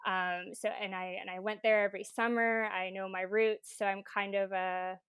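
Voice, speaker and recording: A woman's voice: medium pitch, level tone, normal speed, a bit bright, clean tone, no echo. A woman in her twenties, born in Croatia and raised in Canada, talking earnestly as a podcast guest with many fillers. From born in Croatia, grew up in Canada. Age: 20s. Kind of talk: casual interview conversation.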